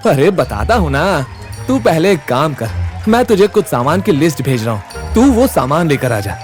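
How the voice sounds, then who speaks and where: A man's voice: on the high side, big pitch swings, normal speed, faint music behind. An actor playing a brash, confident young man who takes charge in an audio drama, with a blunt, swaggering manner. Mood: scheming. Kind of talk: Hindi audio drama acting.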